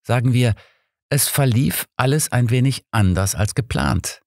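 A man's voice: mid-range voice, a little slow, gravelly, a little mellow, no room sound, clean studio sound, pitched above the performer's own voice. A man voicing a chatty, conspiratorial young mother in an audiobook. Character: gossipy, secretive working woman, young mother.

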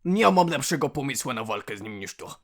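A man playing a boastful, exasperated villain boss with a Russian accent and a gravelly voice in a comedic dub. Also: mid-range voice, very animated, brisk, fairly bright tone. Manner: theatrical, mixes Russian words into speech. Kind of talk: comedic dubbed dialogue.

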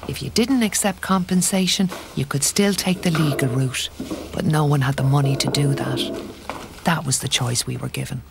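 An actress with an Irish accent playing a sombre, bereaved woman narrating a family tragedy in a measured way. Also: low-pitched, gravelly, noisy background. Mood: grave, resigned. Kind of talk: scripted drama narration.

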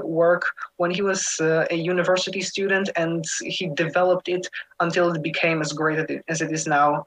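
A man's voice: high-pitched, a bit bright, low-quality recording. A teenage boy, a scholarship candidate, reading a prepared statement in elaborate formal wording, then answering questions on a video call from home. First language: English. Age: teens. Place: home room. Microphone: webcam on a video call.

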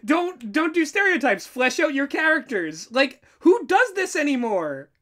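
A man co-hosting a casual reaction commentary in an animated, exasperated manner. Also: very high-pitched, a little slow. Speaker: co-host, writer reacting to writing tips.

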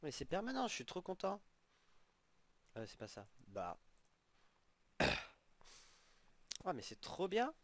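A young man with a head cold, sniffling, casually commenting as he plays an online game and reacting excitedly to what he gets. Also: sits high, takes it slowly, pauses often. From French-speaking. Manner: chatty, exclaiming at in-game rewards. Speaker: gaming channel host.

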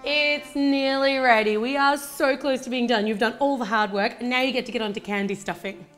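A woman's voice: on the high side, fairly even intonation, a little fast, fairly bright tone, soft background music. Upbeat Australian woman demonstrating a recipe. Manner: chatty, upbeat step-by-step instructions. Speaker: baking channel host. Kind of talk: cooking demonstration. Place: home kitchen, indoors.

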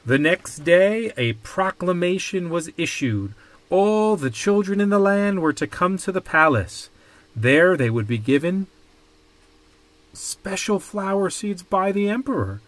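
A man reading a children's picture book aloud with deliberate, dramatic phrasing. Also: high voice, animated, no echo, low-quality recording. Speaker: storybook reader.

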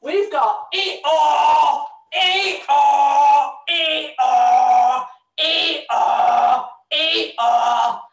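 Woman doing a loud, high, braying donkey. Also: drawn-out words, slight background noise. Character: cheerful male donkey puppet, loudly braying. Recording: echoey room, distant from mic.

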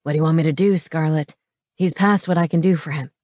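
A woman voicing a gruff male shapeshifter pack leader in an audiobook, grim and blunt. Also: deep voice, steady tone, quick pace, a little mellow, no room sound, studio quality, pitched below the performer's own voice, close to an everyday voice.

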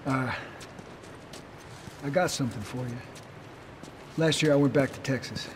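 An actor playing an easygoing younger brother, a rugged survivor in a video game, with a folksy, casual way of talking. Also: male voice, medium pitch, measured pace, background noise, close to an everyday voice. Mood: relaxed. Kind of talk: naturalistic video game acting.

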